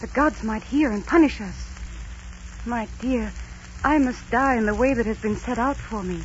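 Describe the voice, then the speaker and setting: A woman's voice: a little high, normal speed, a little hiss or hum, natural voice. An actress playing a devout, tender young Aztec princess resigned to her fate, in earnest, formal 1940s radio romance style. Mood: pleading. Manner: earnest, melodramatic, formal diction. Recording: dull 1940s radio recording, music behind.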